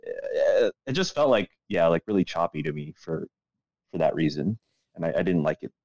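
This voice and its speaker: A man's voice: sits low, sing-song, normal speed, creaky, a little mellow, no room sound, muffled recording. A man chatting casually as a podcast host, swearing freely and giving opinions with plenty of hedging. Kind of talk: casual chat, profane.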